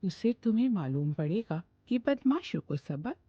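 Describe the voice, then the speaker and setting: A woman voicing a kindly grandmother in a cartoon, explaining gently and patiently. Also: mid-range voice, sing-song, normal speed, slightly rough, dry recording, lo-fi sound. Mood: gentle. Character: kindly grandmother telling a story.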